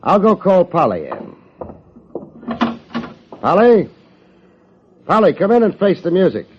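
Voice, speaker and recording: Wry radio private eye, lively pitch. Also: male voice, measured pace, a little hiss or hum, full character voice. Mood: taunting. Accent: American. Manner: wisecracking, deadpan. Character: wry, hard-boiled male private detective, unflappable and quick-witted. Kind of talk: 1950s American radio detective acting. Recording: dull lo-fi old radio recording.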